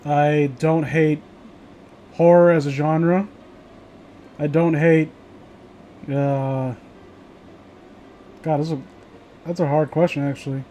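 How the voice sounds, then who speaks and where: High voiced guy rambling casually w friends, opinionated, swears a lot. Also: little pitch movement, slow pace, long pauses, mellow, slight background noise. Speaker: host of a reading show.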